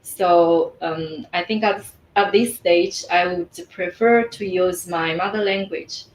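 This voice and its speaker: A woman whose first language is Mandarin, a coach answering interview questions in a thoughtful way, often pausing on "uh" and "mm". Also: lowish voice. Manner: thoughtful, frequent "uh" and "mm" fillers. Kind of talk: conversational interview. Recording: echoey room, faint ambient noise.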